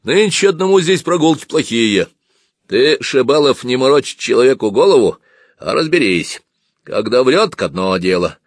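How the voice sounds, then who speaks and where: A man voicing a gruff, folksy peasant soldier on sentry duty, with blunt rustic speech in an audiobook. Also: mid-range voice, sing-song, normal speed, no echo, clean studio sound, voice pushed up. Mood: insistent.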